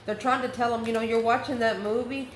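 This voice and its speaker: A woman's voice: fairly high pitch, fairly even intonation, brisk. A woman hosting a casual, conversational tarot reading, chatty and informal with rambling asides. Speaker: tarot reader and channel host. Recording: echoey room, clear background noise.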